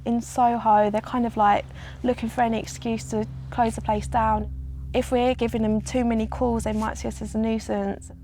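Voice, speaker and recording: A woman's voice: a little high, little pitch movement, moderate pace, warm tone, slight background noise. A young woman speaking casually in a documentary interview, with many "like" fillers.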